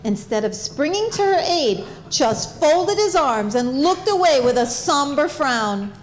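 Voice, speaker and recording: A woman's voice: sits high, big pitch swings, unhurried, slight background noise, low-quality recording. A woman narrating a comic stage play as scripted storytelling.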